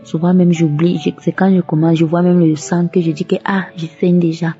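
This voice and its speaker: A woman's voice: lowish voice, little pitch movement, brisk, dark tone. A devout woman giving a religious testimony and offering thanks. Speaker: testimony giver. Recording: muffled lo-fi audio over music. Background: background music.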